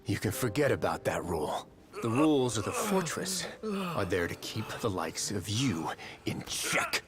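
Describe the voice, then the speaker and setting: Menacing, low, swooping fortress enforcer. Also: male voice, very animated, normal speed. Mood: cold, threatening. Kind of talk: video game voice acting.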